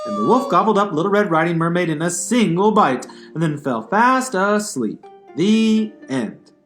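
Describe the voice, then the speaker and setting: A man's voice: high voice, expressive, moderate pace, music in the background. A man narrating a silly children's fairy tale puppet show in a playful storytelling style.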